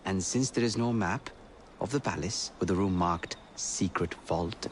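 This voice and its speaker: An actor playing a scholarly, courteous Victorian gentleman in a video game, speaking in a measured and earnest way. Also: low-pitched, background noise. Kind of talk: video game cutscene acting.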